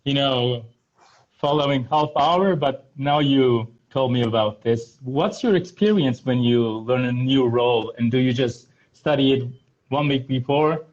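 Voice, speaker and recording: Male host from Turkey, mid-pitched, curious and playful; muffled lo-fi call audio. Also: measured pace, darkish tone. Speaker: interviewer host. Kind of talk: conversational interview.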